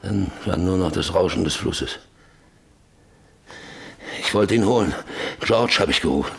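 An actor playing a guilt-ridden middle-aged husband, brooding and confessing in a German radio play monologue. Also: male voice, low-pitched, lively intonation, measured pace, gravelly, husky, exaggerated voice. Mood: haunted confessing.

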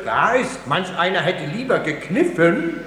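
Ein Schauspieler spielt in einem komischen Hörspiel einen stolzen, polternden Familienvater und Helden aus dem alten Athen, theatralisch und pompös. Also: male voice, high-pitched, sing-song, a little slow, a bit bright, husky, exaggerated voice. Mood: mock modest. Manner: theatrical, pompous. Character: proud, blustering father, vintner hero of ancient Athens. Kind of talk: comic stage-style radio drama dialogue. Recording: hissy 1969 radio play recording.

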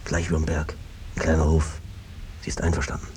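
An actor playing an evasive, henpecked peasant brother who talks around things in a cajoling, hesitant way, in a 1950s radio drama style. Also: male voice, extremely low pitch, completely flat delivery, a little fast, vocal fry, darkish tone. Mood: scheming, persuasive. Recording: noisy old 1950s radio recording.

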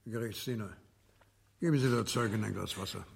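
Gravelly, courteous judge. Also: male voice, lowish voice, brisk, slight background noise. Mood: calm, courteous. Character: calm, courteous male judge with quiet authority. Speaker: actor in a radio play. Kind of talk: German radio drama acting.

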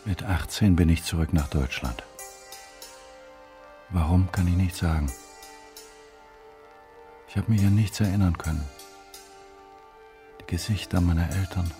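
Deep male voice, dark tone, haunted, brooding, fragmented monologue, faint music, radio drama. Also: a little slow, long pauses. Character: haunted man recalling a lost childhood.

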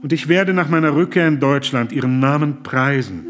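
A man's voice: mid-range voice, moderate pace, natural voice. An actor in a radio drama playing an impassioned German humanitarian who pleads earnestly and formally with a man in power. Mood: urgent, indignant.

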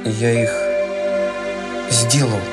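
A man's voice: medium pitch, fairly even intonation, very slow, loud music behind the voice. An actor playing an earnest, devoted young man in a radio play, with a shy, sincere way of talking. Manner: earnest, shy, turns urgent when alarmed.

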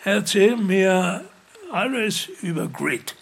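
An actor playing a frail, sly old man in a radio play, gravelly and raspy, slow and bossy. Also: sits high, lively intonation, a bit bright, heavily acted. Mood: sly, demanding. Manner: slow, deliberate, commanding.

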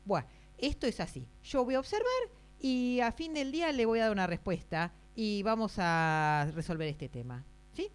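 A woman's voice: medium pitch, animated, normal speed, clear, resonant tone, natural voice. Woman acting a flustered, rule-bound headmistress, swooping intonation. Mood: placating, flustered. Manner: hesitant, placating, repeating rules. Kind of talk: improvised radio theatre workshop acting.

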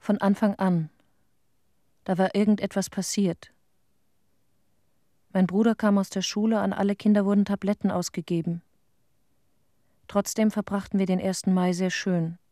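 An actress playing a sober nature inspector, giving a plain, restrained recollection as a monologue in a German radio play. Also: female voice, medium pitch, little pitch movement, normal speed, pauses often, warm tone, no echo, clean studio sound, lightly acted. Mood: quiet recollection. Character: sober female nature inspector quietly recalling a disaster.

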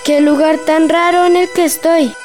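A young performer plays a brand-new talking mask, bewildered and nervous. The voice is very high and childlike, over jingly music in a children's radio drama. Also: little pitch movement, moderate pace, a little mellow. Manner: puzzled, wary. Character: bewildered, nervous newly painted theatre mask.

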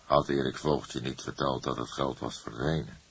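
A man playing a calm, probing old police detective in an audiobook, asking questions in a measured way. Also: extremely low pitch, gravelly, husky, voice pushed down, full character voice. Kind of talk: acted audiobook dialogue.

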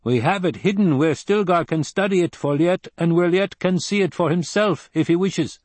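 Man voicing a proud, high-pitched desert warrior. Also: moderate pace, darkish tone, no room sound. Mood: matter-of-fact, proud. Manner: matter-of-fact, casual about battle. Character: proud male desert warrior, calm, blunt, fiercely eager. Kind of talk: audiobook character dialogue.

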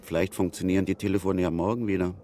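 A man's voice: sits low, level tone, fast, warm tone, natural voice. An actor playing a helpful, matter-of-fact male motel keeper in a radio drama.

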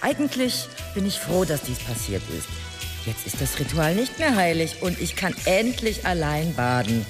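A woman's voice: deep voice, sing-song, normal speed. An actress playing an unruffled, commanding royal woman in a comic fantasy radio drama, with a dry manner. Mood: imperious, pleased. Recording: music behind the voice.

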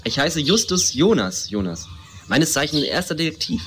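A man's voice: a little high, sing-song, moderate pace, a bit bright. A man playing a polite, awkward teenage boy detective who stammers through formal talk in an amateur parody radio play. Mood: flustered, polite. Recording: noisy amateur recording with clicks.

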